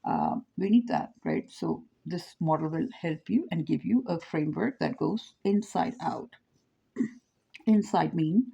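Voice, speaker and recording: Gravelly-voiced woman lecturing informally. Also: fairly low pitch, dark tone, highs cut off. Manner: discursive, thinking aloud with frequent fillers and tag questions. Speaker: speaker presenting her child development framework.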